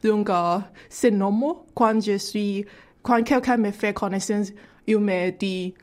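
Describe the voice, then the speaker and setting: A woman's voice: medium pitch, slow. Hesitant woman with a Cantonese accent. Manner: hesitant, frequent "euh" fillers, careful second-language French. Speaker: radio columnist. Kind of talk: radio segment chat.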